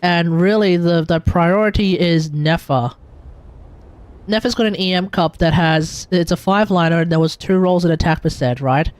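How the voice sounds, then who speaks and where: High-pitched Australian man livestreaming. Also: moderate pace. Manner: blunt, rambling, emphatic, slangy, thinking aloud. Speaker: livestreamer, gaming content creator. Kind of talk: casual livestream commentary. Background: music in the background.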